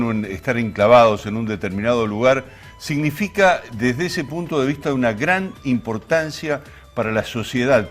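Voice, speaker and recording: A man with an Argentine accent hosting a talk show, speaking in an expansive, explanatory way and often rephrasing as he introduces topics. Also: mid-range voice. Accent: Argentine Spanish. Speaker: television show host. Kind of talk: conversational programme introduction to camera.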